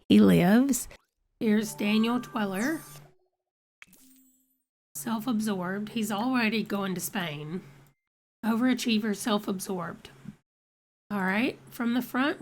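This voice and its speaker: Slow-talking woman with a stuffy cold. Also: medium pitch, a little mellow. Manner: chatty, reads out dice rolls. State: congested from a cold. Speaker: let's play host. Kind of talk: casual game commentary.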